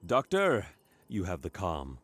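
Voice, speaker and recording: Man acting a blustery, animated starship captain. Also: low voice, measured pace, dry recording, full character voice. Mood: brushing off. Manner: commanding, exasperated, theatrical. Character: blustery male spaceship captain, brash, impatient, self-important. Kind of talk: comedic sci-fi stage play reading.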